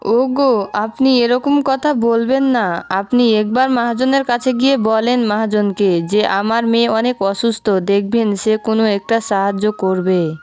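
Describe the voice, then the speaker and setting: Smooth, clear female cartoon storyteller. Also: a little high, steady tone, unhurried, a little mellow, soft background music. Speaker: storyteller, also voicing the wives. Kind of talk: scripted cartoon story narration.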